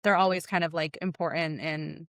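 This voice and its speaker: A woman's voice: deep voice, fairly even intonation, normal speed, dry recording, studio quality. A young woman from Egypt, a podcast guest, talking casually and rambling, with heavy "like" fillers.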